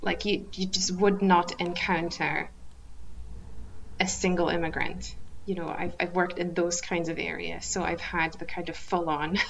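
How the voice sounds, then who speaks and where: A woman in her thirties, a podcast guest from Kazakhstan, talking thoughtfully with frequent pauses and restarts. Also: on the low side, little pitch movement, gravelly, lo-fi sound, slight background noise. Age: 30s. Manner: thoughtful, hesitant with many ums and restarts. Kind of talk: casual interview chat.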